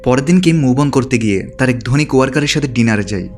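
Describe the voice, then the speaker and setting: A man's voice: medium pitch, expressive, fast, darkish tone, soft background music. A man narrating a film's story from a script, as a recap narrator. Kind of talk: scripted narration of a film plot.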